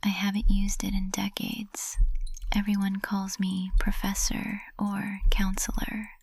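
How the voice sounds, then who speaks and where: Woman acting a slow, whispering lovestruck witch professor. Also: medium pitch, completely flat delivery, darkish tone, no echo, poor audio. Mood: tender, vulnerable. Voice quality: breathy whisper. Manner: slow, tender, intimate delivery. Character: lovestruck high witch professor, usually cold and composed, tender. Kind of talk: intimate ASMR roleplay, whispered. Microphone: close microphone.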